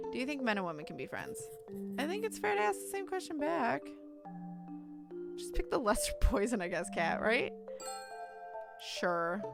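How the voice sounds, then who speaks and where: Woman streamer, higher-pitched, irreverent, expressive, casual commentary and text reading, clear game music behind. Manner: expressive, irreverent, reacts bluntly.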